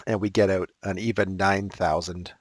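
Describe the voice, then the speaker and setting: Guy teacher walking thru math problems, lowish voice, a bit raspy, dry close sound. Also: steady tone, normal speed, no echo. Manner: casual, thinks aloud, corrects himself. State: slightly raspy from talking. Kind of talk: recorded math lesson walkthrough.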